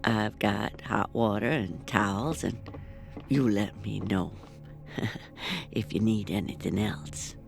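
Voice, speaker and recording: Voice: medium pitch, creaky, faint music behind. An actor playing a hunched, folksy old woman innkeeper in an audio drama. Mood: welcoming, folksy. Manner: slow, folksy, hospitable.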